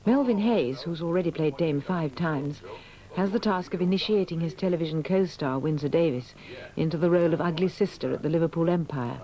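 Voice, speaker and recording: A man narrating a documentary in a measured, formal scripted style. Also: on the high side, warm tone, low-quality recording.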